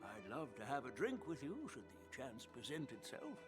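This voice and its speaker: A man's voice: mid-range voice, big pitch swings, moderate pace, natural voice. Elderly master smith general, acted by a man, genial, modest, swooping intonation, anime game, light music. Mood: friendly. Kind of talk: anime-style game dialogue.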